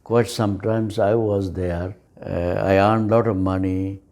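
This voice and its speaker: A man in his seventies with a Bangladeshi accent and Bengali as his first language, recalling his life in an interview in halting, hesitant, simple phrases with repeated words. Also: lowish voice, level tone, darkish tone. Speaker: oral history interviewee. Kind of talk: recollection in an interview.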